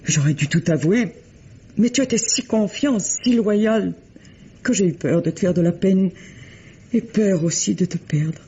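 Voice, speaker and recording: An actress playing a remorseful wife who makes an earnest, pleading confession in a vintage French radio drama. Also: female voice, medium pitch, unhurried, dark tone, slightly rough. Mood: anguished. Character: remorseful wife confessing a long-hidden secret to her trusting husband. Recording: dull old radio recording.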